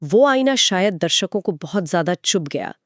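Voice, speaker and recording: A woman's voice: lowish voice, lively intonation, normal speed, no room sound, clean studio sound. A woman giving explanatory, conversational film commentary in an earnest storytelling way. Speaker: podcast co-host.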